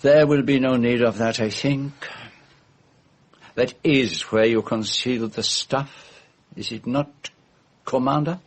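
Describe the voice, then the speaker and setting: An actor playing a fussy, shrewd foreign gentleman detective, speaking in precise, formal phrases in a radio drama. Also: medium pitch, takes it slowly, long pauses. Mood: stern accusation. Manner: precise, theatrical, formal phrasing with French forms of address.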